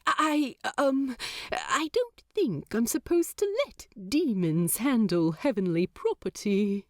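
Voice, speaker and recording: Woman narrator voicing a nervous, prim angel, slow and halting. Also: on the high side, animated, no room sound, studio quality, voice pushed up. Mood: flustered. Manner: hesitant, halting with many uhs. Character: fussy, flustered male angel, shy and earnest.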